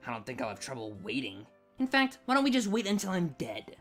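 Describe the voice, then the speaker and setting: A man's voice: mid-range voice, huge pitch swings, brisk, fairly bright tone, soft background music, pitched below the performer's own voice. Man putting on a grumpy, sarcastic young woman, wildly swooping. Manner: scornful, grumbling. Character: grumpy sarcastic young female pony who hates Christmas.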